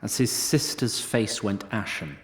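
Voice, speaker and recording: A man's voice: on the low side, animated, unhurried, a bit bright, echoey room. A man narrating a scripted fantasy story in a wry storytelling manner.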